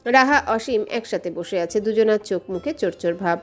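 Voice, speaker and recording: A woman's voice: medium pitch, moderate pace. A woman reading a scripted romantic story as its narrator.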